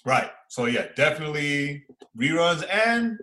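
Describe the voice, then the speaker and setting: A man, chatting casually and excitably as a podcast co-host, using slang and repeating phrases for emphasis. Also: fairly high pitch, a little slow. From Far Rockaway, New York, United States. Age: 40s. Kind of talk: casual fan chat, reviewing and reacting.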